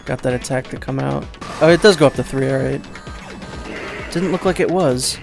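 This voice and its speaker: A man giving casual, rambling let's play commentary, second-guessing himself out loud and swearing offhandedly. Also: medium pitch, normal speed, vocal fry, music in the background.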